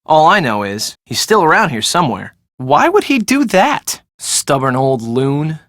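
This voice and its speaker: A man's voice: fairly high pitch, very animated, measured pace, a bit bright, no room sound, studio quality. A man playing a blunt, casual young real-estate schemer in a video game, with an offhand, emphatic, conversational delivery. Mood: wry, brooding.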